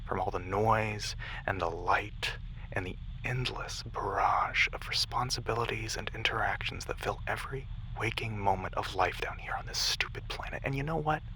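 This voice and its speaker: A man playing a determined, weary military major at mission control in a sci-fi audio drama, intense and commanding, sometimes dropping to a hushed tone. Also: on the low side, moderate pace, in a whisper, low-quality recording. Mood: weary, compassionate. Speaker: voice actor in audio roleplay.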